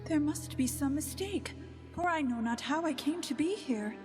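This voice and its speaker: Woman playing high-voiced young maiden Lilia. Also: lively intonation, normal speed, music in the background. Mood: polite, wondering. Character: Lilia, beautiful polite young woman in paradise. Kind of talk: radio play acting.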